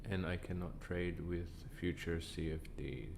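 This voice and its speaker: Croaky, deep-voiced tired man. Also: unhurried, background noise. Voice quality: croaky, deep from sinus trouble. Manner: relaxed, answers chat questions. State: tired, up a long time. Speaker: livestream trading host. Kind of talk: casual live-stream chat.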